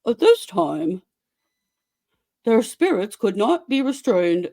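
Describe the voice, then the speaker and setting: A performer playing a mock romance-novel author, giving a theatrical, deliberate, tongue-in-cheek dramatic reading. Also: mid-range voice, very animated, dark tone. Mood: mock romantic. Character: Fabio Toadface, mock romance-novel author alter ego.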